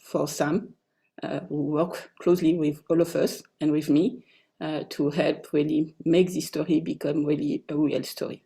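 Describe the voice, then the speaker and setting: A woman from France with a French accent and French as her first language, a co-author speaking haltingly with many ums on a video call. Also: sits low, little pitch movement, creaky, warm tone. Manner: hesitant, many ums and uhs. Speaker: co-author at a book launch. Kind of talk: casual online talk.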